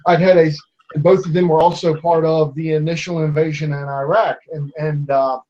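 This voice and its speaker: A man's voice: on the high side, little pitch movement, moderate pace, mellow, dull audio. A man in his twenties debating online in a casual way, with many restarts and fillers as he argues. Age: 20s.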